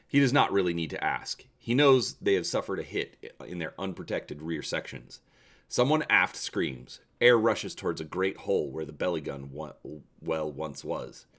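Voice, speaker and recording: Low-voiced man, very animated storyteller. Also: normal speed. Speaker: channel host and story reader. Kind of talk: presenter talk and dramatic storybook narration.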